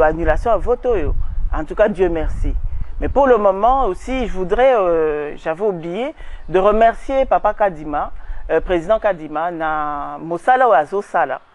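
A woman's voice: mid-range voice, a little mellow. A woman in her forties with a Congolese accent, giving an emphatic outdoor interview on a lapel mic. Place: outdoors in a garden.

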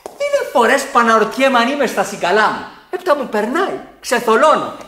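An actor playing a put-upon, mocking manservant in a theatrical radio drama, sardonic and exclamatory. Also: male voice, extremely high pitch, huge pitch swings, pushed and bright, slight background noise, full character voice. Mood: muttering.

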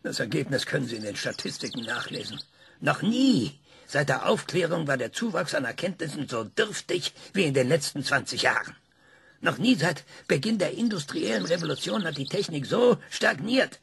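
Radio play: proud, gravelly scientist; lecturing, dramatic, drops to whispers. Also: male voice, fairly high pitch, a little hiss or hum, full character voice. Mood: scornful. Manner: lecturing, dramatic emphasis with sudden hushed whispers. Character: proud male scientist, sharp and scornful. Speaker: scientist being interviewed.

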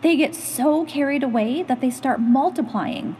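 A woman reading a children's picture book aloud in an animated, instructive, expressive way. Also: high-pitched, normal speed, in a large hall, slight background noise. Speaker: storytime reader and host.

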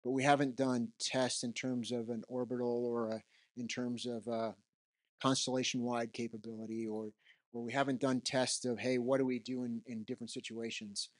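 A man's voice: mid-range voice, little pitch movement, normal speed. A middle-aged man giving an unscripted keynote in a conference hall, speaking conversationally into a handheld microphone and often tagging his points with "right?". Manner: conversational, roams the stage, frequent "right?" tags.

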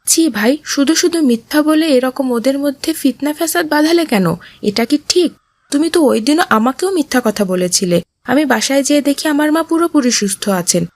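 A woman's voice: high voice, lively intonation, moderate pace, no room sound. A woman voicing a kind, pious boy who earnestly admonishes others in a moral cartoon. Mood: earnest, reproving. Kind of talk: Islamic moral cartoon voice acting.

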